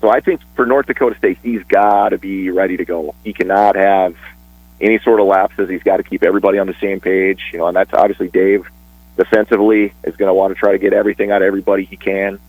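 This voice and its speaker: A man talking casually on a radio sports show, chatty and a bit rambling, with restarts and quick laughs. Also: deep voice, fairly even intonation, a little mellow, husky, no echo, low-quality recording. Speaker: sports broadcaster guest.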